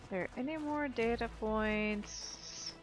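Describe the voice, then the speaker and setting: A woman's voice: fairly high pitch, takes it slowly, soft background music. A woman giving casual gameplay commentary as a let's play host, chatting and thinking aloud as she goes.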